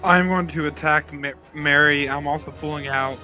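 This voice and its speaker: Very bright, sharp-voiced man at game table. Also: fairly high pitch, steady tone, normal speed. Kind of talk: casual game chat. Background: faint background music.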